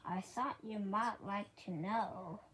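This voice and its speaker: A woman voicing a polite, dutiful male library worker from a children's storybook, speaking deliberately. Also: mid-range voice, a bit bright, slight background noise. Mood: helpful, knowing.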